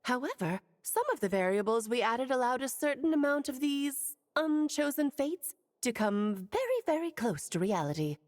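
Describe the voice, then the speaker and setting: A woman's voice: high-pitched, sing-song, moderate pace, hardly any pauses, no echo, studio quality. Playful knowing witch. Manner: lilting, theatrical, gently teasing. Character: ageless cheerful witch, knowing, playful, wise. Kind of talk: video game voice acting.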